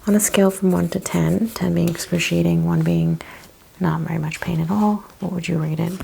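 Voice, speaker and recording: A woman speaking in a gentle, hushed, partly whispered ASMR roleplay style, asking questions as a chiropractor. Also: fairly low pitch, steady tone, a little fast, creaky, a little hiss or hum. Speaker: ASMR host playing a chiropractor. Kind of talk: soft-spoken ASMR roleplay.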